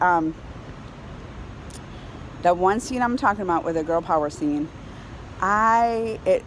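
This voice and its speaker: A middle-aged woman giving a casual, excited review to camera in an animated, colloquial way. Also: on the low side, rough recording. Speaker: vlogger reviewing a film. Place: outdoors on a high-rise terrace in a city. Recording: clear outdoor ambient city noise.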